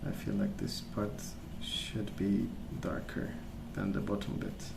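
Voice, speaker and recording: A man rambling casually in a soft-spoken ASMR style, hesitant and meandering as he hosts. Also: sits low, big pitch swings, unhurried, vocal fry, echoey room, noisy background. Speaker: ASMR video host.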